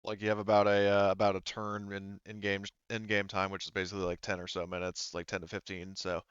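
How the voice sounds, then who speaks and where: A man acts as game master for a tabletop game. His voice is on the low side and clean, and he feels his way haltingly through the narration. The recording is dry and a little dull. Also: monotone, fairly bright tone, smooth, no echo. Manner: halting, hedging.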